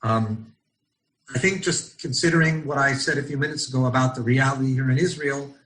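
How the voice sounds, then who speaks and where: A middle-aged American man from Los Angeles, a rabbi leading an online study session over a video call, speaking thoughtfully and often pausing with ums as he searches for words. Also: medium pitch, a bit bright, low-quality recording. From Los Angeles, United States. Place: at home in Israel.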